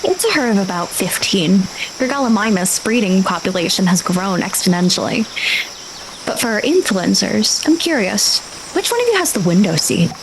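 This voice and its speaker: A woman game master improvising vivid, deliberate narration for a tabletop role-playing game. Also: medium pitch, sing-song. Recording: clear ambient noise with insect-like sounds.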